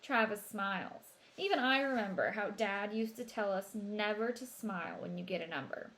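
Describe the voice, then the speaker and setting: A woman narrating a children's novel as an expressive read-aloud. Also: medium pitch, normal speed.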